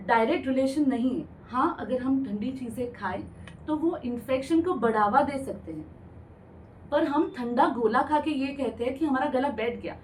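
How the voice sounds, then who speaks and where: A woman's voice: high-pitched, recorded from a distance, a little hiss or hum, low-quality recording. A woman ENT doctor explaining throat infections in a radio interview, patiently, mixing English medical terms into her speech. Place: radio studio.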